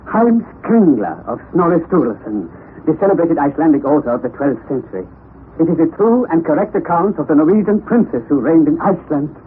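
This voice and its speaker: An actor playing an impetuous, eccentric, scholarly professor of about fifty, excitable and exclamatory, in a vintage radio drama. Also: male voice, a little high, fairly quick. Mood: excited. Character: eccentric, impetuous middle-aged male professor. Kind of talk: 1960s radio drama acting. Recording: tinny lo-fi vintage radio broadcast with hiss.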